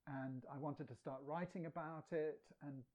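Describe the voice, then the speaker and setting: A man from England recounts his story as an interview guest, easygoing and chatty. His voice is mellow and warm, and he breaks into laughter as he tells his anecdotes. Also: on the high side, steady tone, moderate pace. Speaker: guest poet and translator. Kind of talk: casual storytelling.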